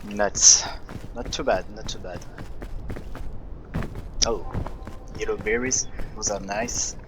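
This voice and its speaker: A young man with a French accent, casually commenting on his own gameplay and thinking aloud, with frequent pauses and fillers. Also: on the low side, noisy background. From France.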